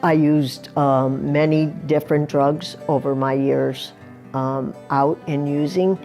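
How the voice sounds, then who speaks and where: A woman's voice: low voice, fairly even intonation, slow, dark tone, soft background music. A woman in her sixties in recovery, giving plain, candid personal testimony in an interview. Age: 60s.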